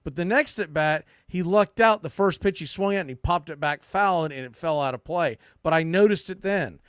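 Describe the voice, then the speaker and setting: Blunt male sports podcaster, slightly high voice. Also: dry recording, clean studio sound. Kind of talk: opinionated baseball commentary, unscripted.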